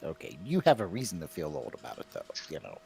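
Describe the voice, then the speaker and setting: A man's voice: a bass voice, wildly swooping pitch, fast talker, creaky, darkish tone, slight background noise. A man in his fifties hosting a casual chat while painting, full of self-mocking jokes and banter. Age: 50s. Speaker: stream host.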